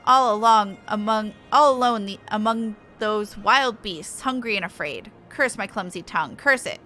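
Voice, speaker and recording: A sly little moogle boss from a game, acted out by a woman, theatrical and affected. The voice is bright and mock-apologetic, feigning innocence, with soft music underneath. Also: medium pitch, normal speed, natural voice. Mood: mock remorse.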